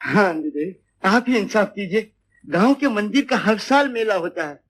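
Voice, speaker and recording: Squeaky, excitable cowardly comic lawyer. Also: male voice, moderate pace, husky, exaggerated voice. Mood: pleading, flustered. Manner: obsequious, excitable, blustering, pleading. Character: cowardly, boastful, fawning little male village lawyer. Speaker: comic film actor. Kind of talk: 1950s Hindi film comedy acting. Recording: old 1950s film soundtrack with music.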